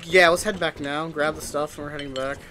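Excitable young gamer man, slightly high. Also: a little fast, a little hiss or hum. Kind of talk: casual co-op gaming chat.

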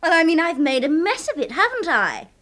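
An English actress playing a breezy, flippant young office typist in a radio drama. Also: female voice, extremely high pitch, big pitch swings, fairly quick, sharp tone, full character voice. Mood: cheeky, amused. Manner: casual, flippant. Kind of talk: 1970s radio drama acting.

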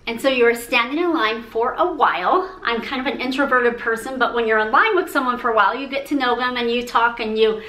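A woman in her forties with a fairly high voice gives upbeat, encouraging advice to the camera. She talks with her hands, and the room around her rings with echo. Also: sharp tone. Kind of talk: speaking to camera, sharing business tips. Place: room with a pink painted brick wall.

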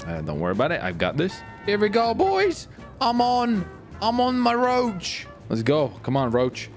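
A man improvising a bossy, easily flustered fantasy monster hunter in a game roleplay, growling low and breaking into high yells. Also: wildly swooping pitch, moderate pace, soft background music. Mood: gruff, brooding. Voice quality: gravelly.

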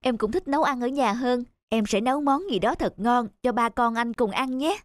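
A woman playing a kind, caring young girlfriend in a cartoon dub, chatting gently. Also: fairly high pitch, normal speed, no room sound, clean studio sound, natural voice. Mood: cheerful, caring.

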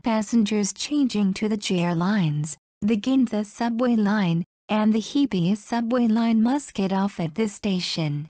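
A woman's voice: mid-range voice, mellow, dry recording. A woman reading a scripted station announcement as a train announcer.

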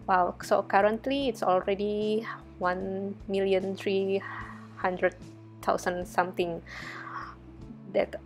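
A young woman from Indonesia narrates a screen-recorded coding lesson, speaking slowly and casually with plenty of fillers. Her voice sits at a middle pitch. Soft music plays faintly underneath. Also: fairly even intonation. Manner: slow, chatty, many fillers. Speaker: research fellow teaching data analysis.

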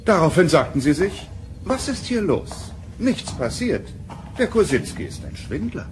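An actor playing an arrogant, impatient starship propulsion expert in an audio drama, with a condescending, haughty manner. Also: male voice, on the high side, big pitch swings, unhurried, soft background music. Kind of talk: German audio drama acting. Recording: noisy 1990 cassette radio play.

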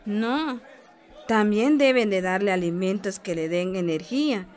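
An actress playing a friendly, assured village health adviser in a radio soap, explaining patiently with a Guatemalan accent. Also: female voice, mid-range voice, a little slow, a little mellow, a faint crowd, close to an everyday voice. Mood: reassuring, instructive. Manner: instructive, patient, encouraging. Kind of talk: radio soap opera acting.

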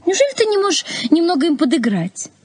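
An actress playing a spirited, teasing young woman in love in a Soviet radio play, with a husky voice and light, expressive delivery. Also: very high voice, quick pace, no room sound. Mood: teasing, reproachful.

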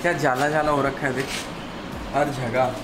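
A man's voice: mid-range voice, fairly even intonation, normal speed, a crowd in the background, poor audio. A young man with an Indian accent chatting casually as a motorbike vlogger, mixing in English words, on a handheld camera outdoors. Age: young adult. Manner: mixes English words into Hindi. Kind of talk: casual vlog chat. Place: covered open-air home driveway. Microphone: handheld camera at arm's length. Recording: loud outdoor street noise.

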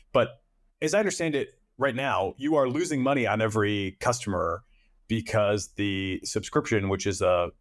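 A man's voice: lowish voice, no echo, studio quality. A man, asking long conversational questions as an interview host. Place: indoors on a video call.

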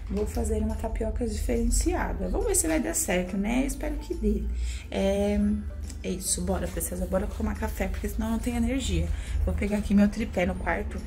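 A woman's voice: medium pitch, mellow. A young woman with a Brazilian accent chatting casually to the camera as a vlogger, addressing viewers with pet names. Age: young adult. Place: small rooms at home, indoors. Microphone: phone at arm's length. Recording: noisy handheld home recording.

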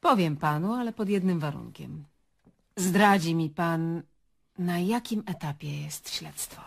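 An actress playing a nosy, persistent journalist in a radio play, husky and coaxing as she probes. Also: lowish voice, a little slow, full character voice. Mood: bargaining. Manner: probing, coaxing.

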